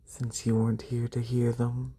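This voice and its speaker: A man playing a haughty, demanding lamia noble in a soft-spoken ASMR roleplay, formal and condescending, with a breathy, husky voice close to a binaural microphone. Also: mid-range voice, completely flat delivery, close to an everyday voice. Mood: poised, condescending. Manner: condescending, formal, drawn-out delivery. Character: haughty wealthy lamia noble, demanding serpent-bodied employer.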